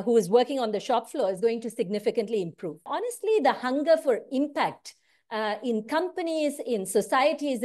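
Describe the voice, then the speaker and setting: A woman in her forties, originally from India, a managing partner speaking earnestly about her work, often ending points with "right?", recorded through a wired earphone mic on a video call from a room at home. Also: a little high. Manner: earnest, animated, often tags lines with "right?". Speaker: consulting firm managing partner. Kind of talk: direct-to-camera talk on career and work.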